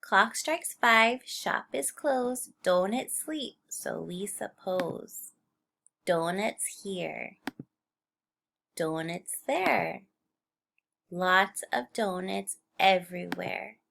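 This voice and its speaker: A woman teacher reading a picture book aloud to young children in an expressive storytelling style. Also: medium pitch, extremely slow, creaky, slight background noise.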